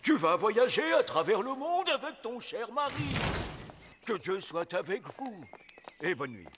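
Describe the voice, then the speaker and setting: A man's voice: sits high, big pitch swings, unhurried, heavy background noise. A man voicing an imperious, stubborn king and exasperated father in a French children's fairy-tale cartoon, with commanding, declamatory delivery. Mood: pompous, decisive. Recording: long echoing reverb, music underneath.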